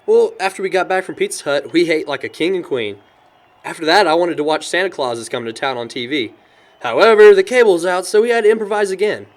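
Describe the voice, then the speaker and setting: A man's voice: sits high, quick pace. A young man with an American accent playing a sentimental, good-natured guy in an audio drama. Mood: fond, nostalgic. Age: young adult. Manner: chuckles often, animated storyteller. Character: cheerful young man, sentimental but worried about his sister.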